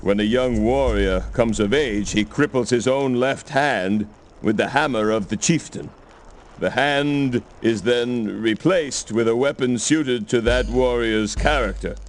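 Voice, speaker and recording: A man's voice: medium pitch, a little slow, close to an everyday voice. A man voicing a surly, boastful orc leader in a cartoon fantasy game, with a blustering, declamatory delivery. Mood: proud, scolding.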